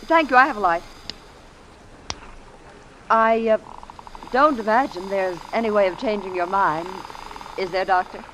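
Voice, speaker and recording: A woman's voice: medium pitch, measured pace, pauses often, fairly bright tone, close to an everyday voice, rough recording. A woman playing a cool, evasive woman aboard a schooner in an old-time radio drama, defensive when pressed. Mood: cool, guarded. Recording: vintage radio broadcast, faint noise.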